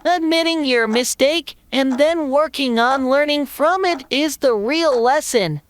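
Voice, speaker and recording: A woman's voice: sits high, a little slow, a little hiss or hum. A woman voicing a mischievous, teasing young schoolboy in a children's cartoon story. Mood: playful.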